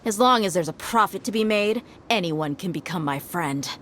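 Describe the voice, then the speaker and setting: A woman's voice: on the low side, animated, moderate pace, a bit bright, slight background noise. A woman voicing a confident female desert mercenary in a game cutscene, cocky and teasing. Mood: smug. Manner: cocky, teasing, laid-back. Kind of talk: video game cutscene dialogue.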